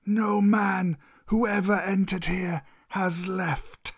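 Old male cobra, storybook villain, very slow drawl, raised pitch, proud, menacing, ominous. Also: steady tone, slightly rough, full character voice. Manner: slow, drawn-out, ominous delivery. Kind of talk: storybook character voice.

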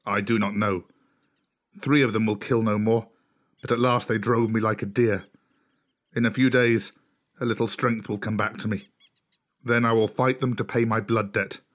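A man's voice: lowish voice, steady tone, normal speed, pauses often, fairly bright tone, close to an everyday voice. A man voicing a weary, wounded lone wolf, grim and vengeful, in a children's storybook.

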